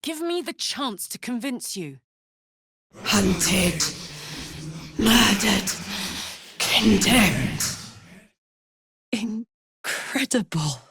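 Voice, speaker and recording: A woman's voice: medium pitch, very animated, very slow, long pauses, noisy background, heavily acted. An actress voicing a brash, big-hearted tiefling warrior woman in a video game, talking bluntly like a battle-hardened soldier with her feelings in the open. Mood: bitter defiance. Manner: blunt, informal, emotionally open soldier's talk. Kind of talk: video game character voice acting.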